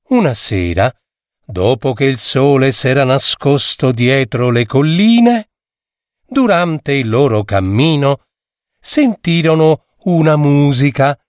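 A man tells a fairy tale as an expressive storyteller, taking his time over each phrase. His pitch moves in lively swings, and he leaves theatrical pauses for effect. The recording is dry and close. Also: no echo. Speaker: storyteller, adapter and reader of fairy tales.

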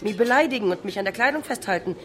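A woman's voice: medium pitch, expressive, very fast, a bit bright, a faint crowd, close to an everyday voice. A woman voicing an irritable, combative female blogger in a documentary voice-over. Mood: self-assured, defiant. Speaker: voice-over speaker for an interviewee. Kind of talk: translated interview voice-over.

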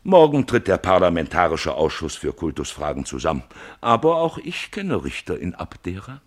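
A lofty, scheming archpriest from an old radio play. His deep, husky voice swings widely as he declaims and bursts into emphatic peaks. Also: sing-song, normal speed. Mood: declaiming. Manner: declaiming, rising to emphatic outbursts. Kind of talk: 1950s German radio play acting.

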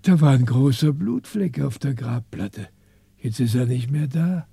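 A man acting a talkative, flustered small-town cemetery caretaker in a 1960s German radio play, excitable and often groping for words. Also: medium pitch, unhurried, creaky, husky, dry recording, exaggerated voice. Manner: garrulous, excitable, searching for words. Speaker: actor in a radio crime drama.

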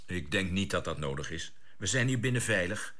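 An actor playing a decisive, composed spaceship captain in a radio drama, with a husky voice and an air of command. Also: male voice, deep voice, lively intonation, fairly quick, bright, forceful tone, exaggerated voice. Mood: measured, assured. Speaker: expedition leader.

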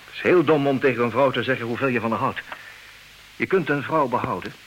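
An actor playing a smug, calculating jealous husband in a comic radio play, with a theatrical, declaiming manner. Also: male voice, medium pitch, fast, a bit bright, close to an everyday voice, poor audio. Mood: smug triumph. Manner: theatrical, self-satisfied, declaiming. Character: smug, calculating jealous husband, self-styled expert on women. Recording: hissy old radio play recording.

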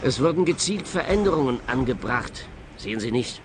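A man's voice: mid-range voice, animated, fairly quick, natural voice. A man playing an earnest, wary client in a radio play, speaking insistently. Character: earnest, wary male client who owns wrecked cars. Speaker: supporting actor. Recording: clear outdoor traffic-like background noise.

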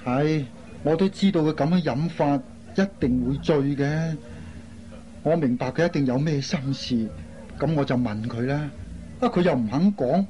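A man's voice: fairly high pitch, moderate pace, dark tone, natural voice. An actor playing an earnest, concerned airline crewman in a radio drama. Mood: serious recounting. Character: earnest, concerned man, a flight crew colleague. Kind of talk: Cantonese radio drama acting. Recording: dull lo-fi recording, faint noise.

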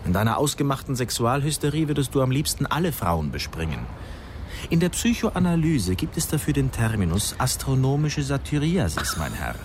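A man's voice: mid-range voice, very animated, fairly quick, background noise, lightly acted. An actor playing a theatrical, self-pitying intellectual man in his thirties in a radio drama, speaking with grandiloquent mock pomposity. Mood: mock indignant.

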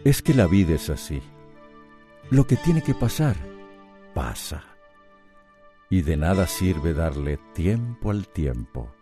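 An actor with a Latin American accent playing a wry, philosophical storyteller who narrates a radio soap in a musing, deliberate way. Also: male voice, sits low, sing-song, mellow, faint music behind, heavily acted. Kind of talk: dramatic scripted narration.

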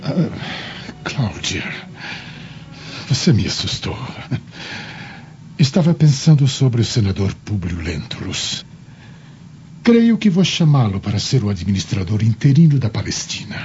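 An actor playing a lustful, evasive middle-aged Roman governor in a theatrical, brooding radio drama style. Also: male voice, on the low side, big pitch swings, unhurried, long pauses, a little mellow, a little hiss or hum. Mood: flustered, defensive. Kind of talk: dubbed radio drama acting. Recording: muffled audio under prominent music.

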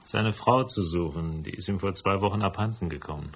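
An actor playing a dry, laconic, world-weary private detective in a radio drama, with a gravelly voice. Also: male voice, low-pitched, brisk, slight background noise, lightly acted. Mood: dry, guarded. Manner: laconic, sardonic. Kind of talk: radio drama dialogue and first-person narration.

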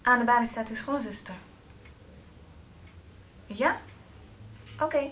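An actress playing a polite, efficient receptionist in a radio soap. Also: female voice, on the high side, takes it slowly, a bit bright.

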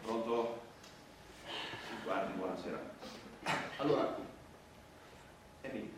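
A man's voice: low voice, slow. An actor playing an earnest, fussy male street performer with lofty pretensions, stammering and self-important in theatrical comic style. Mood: flustered, indignant. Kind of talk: theatrical comic stage acting. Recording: distant, echoey stage recording with noise.

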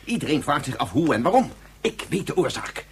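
An actor playing a proud, volatile physicist in a science fiction radio play, speaking formally and with exasperated scorn. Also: male voice, fairly high pitch, big pitch swings, a little fast, slight background noise. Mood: urgent, explaining. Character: proud, frustrated, imperious male scientist, volatile and menacing.

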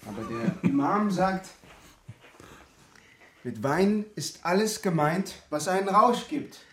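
Solemn, devout young refugee. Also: male voice, sits high, expressive, slight background noise, heavily acted. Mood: earnest. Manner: solemn, measured, formal wording. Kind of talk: German radio crime drama acting.

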